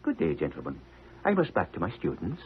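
An actor playing a fussy, cultured, pompous surgeon with a halting, precise delivery in a 1950s radio play. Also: male voice, sits low, wildly swooping pitch, over music. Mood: fussy, insinuating. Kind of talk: 1950s radio drama acting. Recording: tinny, noisy old 1950s radio broadcast.

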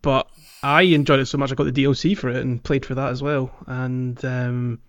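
A man's voice: mid-range voice, fairly even intonation, fairly quick, muffled recording. A man chatting casually and enthusiastically as a podcast host. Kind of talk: casual chat.

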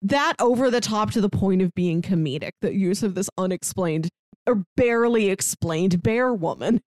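Lady on a book podcast, super lively pitch, chatty, emphatic, no room echo at all. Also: moderate pace. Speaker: podcast co-host. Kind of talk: casual book discussion.

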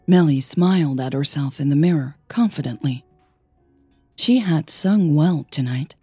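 A woman narrating scripted audio fiction in a measured storytelling manner, phrase by phrase. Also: low voice, expressive, darkish tone, breathy edge, dry recording, soft background music. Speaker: podcast host and narrator.

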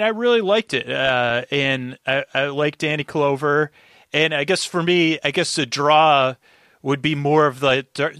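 Bright-voiced man, rambling casually. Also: a little high, dry recording, clean studio sound. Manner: rambling, halting, stutters over words, circles back. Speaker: podcast guest. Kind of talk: casual conversation, recapping an old radio show.

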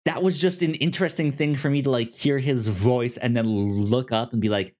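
A man's voice: mid-range voice, steady tone, darkish tone. A man co-hosting a podcast, chatting casually and enthusiastically about films, often stumbling and restarting his words. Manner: halting, stammering over words, enthusiastic. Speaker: podcast co-host. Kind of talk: casual film discussion chat.

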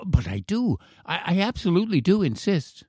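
A man's voice: high voice, big pitch swings, moderate pace, darkish tone, breathy edge, no echo, studio quality, pitched above the performer's own voice, exaggerated voice. A man voicing a blunt, curious little English schoolboy who blurts and insists, in a storybook reading. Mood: pleading, eager. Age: child. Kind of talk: storybook character voice.

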